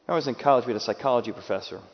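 A man preaching a sermon with dramatic delivery, acting out quoted voices and moving from loud bursts to hushed, quiet lines. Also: mid-range voice, racing. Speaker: pastor. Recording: dull sound with long hall reverb.

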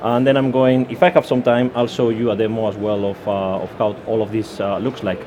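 A man's voice: lowish voice, flat delivery, brisk, a little mellow, slight background noise. Spanish-accented man giving a tech talk. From Spain. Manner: hesitant, many fillers and restarts. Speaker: conference speaker. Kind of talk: technical conference talk. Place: conference session room.

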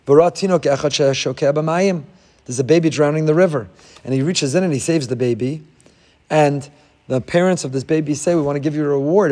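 Man with a slightly high voice teaching a Torah lesson, lively storyteller who acts out the characters. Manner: animated storytelling, acts out quoted figures; mixes Hebrew verses into his talk.

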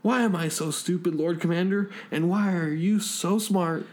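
Man as a shrill, wailing, fawning crewman. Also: normal speed, a little mellow, close to an everyday voice. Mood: wailing self-blame. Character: panicky, self-abasing, fawning spaceship crewman.

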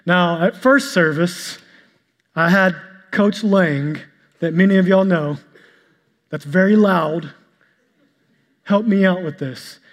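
High-voiced male pastor preaching. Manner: calls himself monotonous and boring-voiced; preaching, shifts to a soft, slow prayer. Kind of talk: sermon. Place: church sanctuary. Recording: long hall reverb with soft instrumental music.

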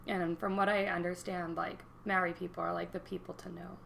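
Bright, chatty young woman podcast co-host. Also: on the low side, flat delivery, fairly quick, creaky, slight background noise. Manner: chatty, joking, laughs often. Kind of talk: casual chat.

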